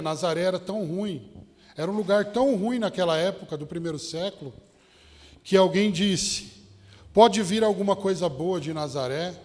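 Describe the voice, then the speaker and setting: A male Baptist pastor with a São Paulo Brazilian accent delivers a sermon in a fairly high voice. He speaks straight to his listeners, posing rhetorical questions, and his words carry the long reverb of a hall. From São Paulo state, Brazil. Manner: rhetorical questions, addressing the congregation directly. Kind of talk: sermon with personal anecdotes.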